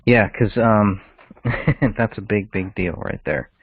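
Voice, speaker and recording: A man's voice: fairly low pitch, takes it slowly, husky, no room sound. A man co-hosting a casual discussion of a case over a call, heard through a narrow phone line.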